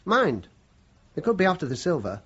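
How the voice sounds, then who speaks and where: An actor playing an honest, deadpan working-class chauffeur in his sixties with a London accent in a British radio drama. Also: on the high side, normal speed, slightly rough, full character voice. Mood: earnest, deferential. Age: 60s. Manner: plain-spoken, deferential, deadpan. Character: honest, dryly cheeky, kindly working-class older chauffeur. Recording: dull, band-limited radio recording.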